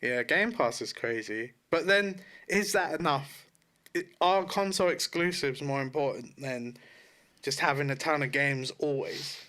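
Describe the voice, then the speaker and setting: A man with a fairly high, very bright voice talks in a casual podcast style. He is informal and loose-tongued, often rephrasing himself as he makes his point. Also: measured pace. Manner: informal, sweary, self-correcting. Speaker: podcast co-host. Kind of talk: casual opinionated gaming podcast chat.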